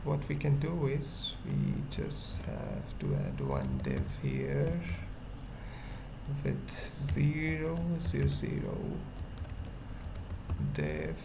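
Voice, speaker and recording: A coding tutorial presenter thinking aloud in short, halting fragments during an unscripted screencast. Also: very deep voice, wildly swooping pitch, creaky. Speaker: web development tutorial presenter. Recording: noisy mic, keyboard typing. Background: computer keyboard typing.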